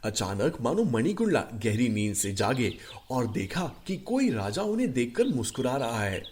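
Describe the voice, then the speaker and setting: A man narrating a scripted animated short story as its storyteller. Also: mid-range voice, moderate pace.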